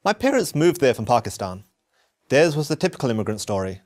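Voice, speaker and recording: A man from England, giving an earnest, measured scripted narration of his own story. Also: mid-range voice, sing-song, darkish tone. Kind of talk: scripted first-person narration.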